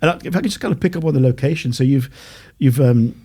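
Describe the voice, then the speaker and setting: A male podcast host introducing his guest in a halting way, with many pauses and restarts. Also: mid-range voice, mellow, breathy edge. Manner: halting, many fillers and restarts, self-deprecating. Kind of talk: interview introduction.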